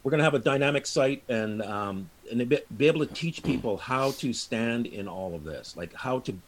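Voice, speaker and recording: A man in his early sixties with a medium-pitched voice. He speaks as an interview guest, explaining informally and haltingly, often repeating a word before going on. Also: lively intonation. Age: early 60s. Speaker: interview guest, movement teacher. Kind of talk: casual explanatory interview talk.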